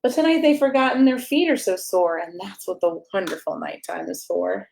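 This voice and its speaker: A woman reads a rhyming picture book aloud for children. Her voice sits at a middle pitch, and she delivers the lines in a playful, sing-song storybook manner, giving the rhymes clear emphasis. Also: very animated, moderate pace, a little mellow. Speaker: pastor and storyteller reading aloud.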